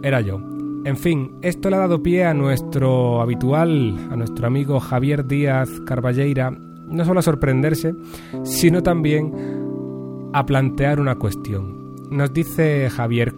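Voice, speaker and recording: A man from Andalusia hosting a solo podcast, speaking with a neutral Castilian Spanish accent that softens his Andalusian one, in a measured, articulate way with careful diction. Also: medium pitch, music in the background. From Andalusia, Spain. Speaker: podcast host. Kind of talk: solo podcast monologue answering listener questions.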